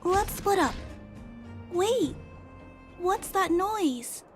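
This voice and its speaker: Very high-voiced, gentle young girl. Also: expressive, extremely slow, faint music behind, heavily acted. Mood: hesitant, puzzled. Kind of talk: video game story dialogue.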